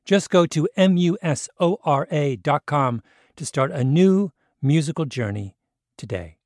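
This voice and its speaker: A man's voice: a little high, lively intonation, measured pace, darkish tone, no room sound, clean studio sound. A man reading a scripted advert as a voice-over. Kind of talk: scripted ad read. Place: dry studio.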